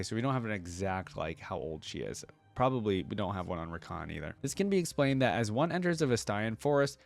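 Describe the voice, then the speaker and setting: A man's voice: lowish voice, dry recording. A man hosting a reaction video, reading lore aloud in a casual way with chatty, musing asides. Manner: chatty, musing asides between read passages.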